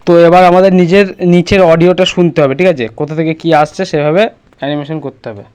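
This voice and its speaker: A man's voice: fairly high pitch, quick pace, darkish tone, clean tone. A man presenting a casual step-by-step screen tutorial, instructing and often checking that the listener follows.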